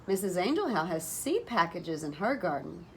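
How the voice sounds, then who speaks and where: A woman's voice: fairly low pitch, very animated, normal speed, a little mellow, voice pushed down. A woman voicing a curious young child from a storybook, with an eager, questioning manner. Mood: puzzled, questioning. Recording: noticeable background noise.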